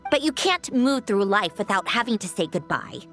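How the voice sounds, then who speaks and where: An actress playing a weary, sardonic female inventor in a video game, speaking slowly with a dry, sighing delivery. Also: mid-range voice, big pitch swings. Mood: wistful. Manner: dry, sighing, slow and reflective. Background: soft game music.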